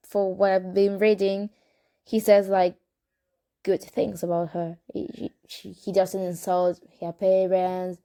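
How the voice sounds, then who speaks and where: A young woman with a Spanish accent reads a novel aloud in English, taking her time at a slow pace. Her voice is soft and warm. She breaks off for chatty comments, hesitates often and corrects her own words. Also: mid-range voice. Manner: unhurried, hesitant, self-correcting. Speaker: amateur reader of a novel. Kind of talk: casual reading aloud with chatty asides.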